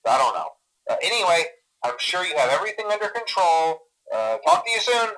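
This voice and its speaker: A man's voice: fairly high pitch, big pitch swings, a little fast, sharp tone, poor audio. An actor playing a nervous, chipper male company employee who leaves rambling, stammering, awkwardly reassuring training messages through a telephone filter. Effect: telephone voicemail filter. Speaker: phone guide to a night watchman. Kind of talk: recorded phone training message.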